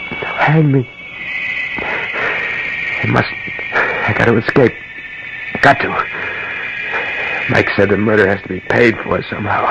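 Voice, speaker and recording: An actor in an old-time radio drama playing a desperate, guilt-ridden husband on the run, halting and overwrought. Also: male voice, on the low side, vocal fry. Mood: panicked. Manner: overwrought, halting, muttering to himself. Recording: dull, noisy old radio recording.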